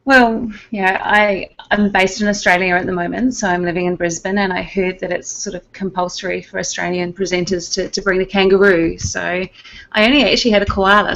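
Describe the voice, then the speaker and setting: Woman, New Zealand accent, low gravelly voice, relaxed chatty manner, dull lo-fi call audio. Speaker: guest, IT company owner. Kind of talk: casual chat.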